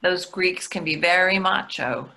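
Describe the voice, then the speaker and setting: A woman's voice: lowish voice, unhurried, creaky, sharp tone, exaggerated voice. A woman in her sixties playing a practical, self-deprecating, reassuring wife in a play reading over a video call, dry and matter-of-fact. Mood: calm, practical. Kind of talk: readers theatre play reading. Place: plain room indoors. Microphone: computer mic on a video call.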